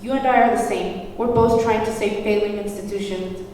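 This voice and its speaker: A woman's voice: medium pitch, steady tone, normal speed, warm tone, lightly acted. A woman playing an anxious but determined housewife at a screenplay table read, recorded from afar in an echoey room. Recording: distant echoey room, faint noise.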